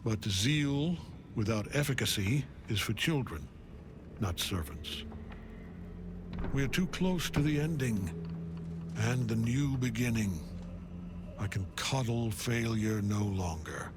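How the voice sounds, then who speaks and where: An actor playing a cold, commanding, weary older general in a fantasy game, measured and disdainful. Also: male voice, mid-range voice, noisy background, close to an everyday voice. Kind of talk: dramatic fantasy game acting.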